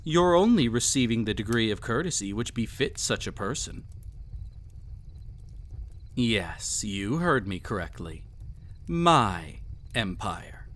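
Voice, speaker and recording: A man's voice: medium pitch, a bit bright, slight background noise. A man playing a cruel, arrogant emperor in a scripted audio roleplay, speaking in a formal, mocking, condescending way. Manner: haughty, formal, mocking, deliberate theatrical phrasing. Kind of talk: scripted audio roleplay, villain monologue addressed to listener.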